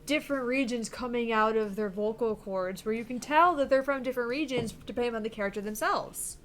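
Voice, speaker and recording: A woman's voice: a little high, fairly quick, fairly bright tone. A woman co-hosting a game stream, chatting casually, giggling and swearing.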